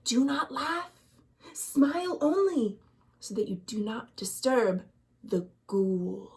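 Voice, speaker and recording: Woman storyteller as nervous villager, hushed and whispery, high-voiced, storybook style. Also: slow, far from the mic. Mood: shushing. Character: timid villagers greeting and shushing in fearful whispers.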